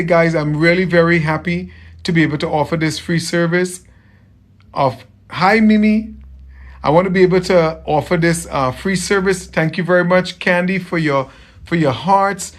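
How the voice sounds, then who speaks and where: A man from Trinidad with a softened Trinidadian accent, chatting casually with viewers on a livestream as a tailor, friendly and often repeating himself. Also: high voice. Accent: Trinidadian, softened toward American. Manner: friendly, repeats phrases, greets viewers by name.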